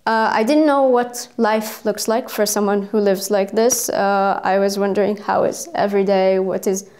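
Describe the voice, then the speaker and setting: A young woman in her twenties from Israel, speaking English, answering interview questions hesitantly and carefully, with many pauses. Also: mid-range voice, fairly even intonation, darkish tone. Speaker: student.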